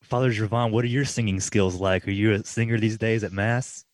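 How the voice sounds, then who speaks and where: A man's voice: fairly low pitch, steady tone, no room sound. A young man from Middle Tennessee hosting a faith radio talk show, friendly and casual, with frequent "uh" fillers. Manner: friendly, fills pauses with "uh", laughs easily.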